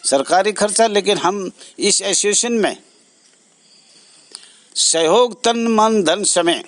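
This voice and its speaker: A man giving a direct address to supporters as an association president, earnest, halting and repetitive, pausing between phrases. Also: high-pitched, fairly bright tone, no room sound.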